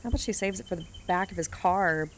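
A woman's voice: on the low side, fast, natural voice. An actress playing a mocking young woman who eggs on gossip with sarcastic, exaggerated teasing, in a naturalistic audio drama. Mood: snide.

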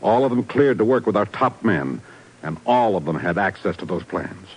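Husky, low-voiced, worried bureau chief. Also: male voice, lively intonation, normal speed, rough recording. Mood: grave. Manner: exasperated, emphatic, urgent. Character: gruff, worried male intelligence bureau chief. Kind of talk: 1950s radio drama acting.

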